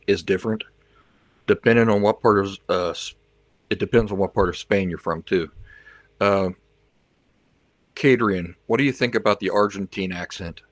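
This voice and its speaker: Low-voiced man chatting on a livestream. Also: moderate pace, long pauses, no room sound. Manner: chatty, rambling, reacts to viewer comments; switches between English and learner's Spanish. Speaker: livestream host. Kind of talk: casual chat with viewers.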